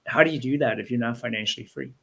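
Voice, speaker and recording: A man's voice: mid-range voice, racing, creaky, husky. A man hosting an interview, enthusiastic and full of praise, with frequent fillers and restarts. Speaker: interview host.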